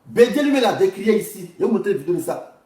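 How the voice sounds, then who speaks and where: A man's voice: sits high, big pitch swings, fast talker, mellow. A man giving informal political commentary, speaking straight to viewers in an indignant, repetitive way. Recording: roomy, distant mic.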